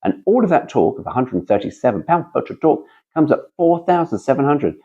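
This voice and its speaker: Man with lively, swooping pitch. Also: fast talker, slightly rough, lo-fi sound. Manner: chatty, digressive, wry. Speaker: host reading a car brochure aloud. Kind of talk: casual read-aloud with chatty asides.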